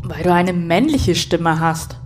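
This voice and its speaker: A woman's voice: on the low side, expressive, slow pace, a little hiss or hum. A woman playing a blunt, sarcastic, easily exasperated space station captain in a sci-fi audio drama. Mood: dry, dismissive. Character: sardonic, irritable female space station captain.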